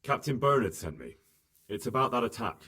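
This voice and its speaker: An actor playing an earnest young squire in a video game, questioning people and pressing them for the truth. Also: male voice, mid-range voice, big pitch swings, brisk, pushed and bright. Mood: probing. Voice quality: probing, insistent. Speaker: protagonist questioning witnesses. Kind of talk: video game cutscene acting.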